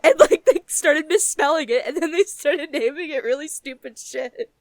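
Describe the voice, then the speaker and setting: A young woman co-hosting a casual chat, giggly and sweary, telling things in halting bursts full of "like". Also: high-pitched, darkish tone, dry recording, clean studio sound. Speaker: podcast co-host. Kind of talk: casual chat, retelling a game.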